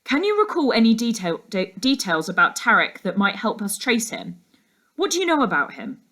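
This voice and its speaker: Lady reading as a stern detective uncle in a kids book, measured, firm, takes charge. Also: on the high side, sing-song, a bit bright, pitched above the performer's own voice. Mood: questioning. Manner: measured, commanding, matter-of-fact. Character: serious, authoritative ex-detective uncle.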